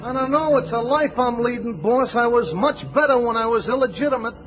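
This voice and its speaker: A man's voice: very high voice, brisk, over loud music. A man playing an eager, dim gangster henchman in a 1940s radio comedy, with slangy, ungrammatical talk. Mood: pleading, plaintive. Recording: muffled 1940s radio recording.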